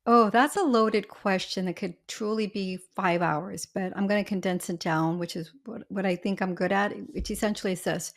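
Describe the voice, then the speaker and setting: A woman speaks with a smoky, slightly husky voice, worn by allergies. She is an attorney guest on a podcast, talking through legal rules in a chatty way, with homely examples and quick asides. Her pitch sits in an ordinary middle range. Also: fairly even intonation. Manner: talkative, explains with everyday examples and asides. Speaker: family law attorney, guest. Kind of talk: podcast interview, explaining law.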